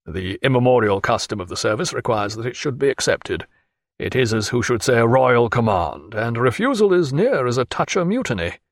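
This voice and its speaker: A man reading as a steady naval captain, low and measured, with quiet authority. The voice is close and dry. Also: no room sound. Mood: persuasive.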